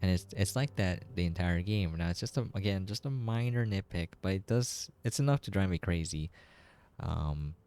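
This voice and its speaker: A man's voice: deep voice, normal speed, a little mellow, smooth. A man giving casual, rambling gameplay commentary as a game reviewer, in a conversational way.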